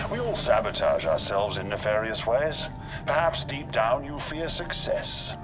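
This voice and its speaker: A man playing an arrogant, calculating military general in a video game, speaking in a measured, formal, condescending way. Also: medium pitch, highs cut off, close to an everyday voice. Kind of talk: dramatic video game acting.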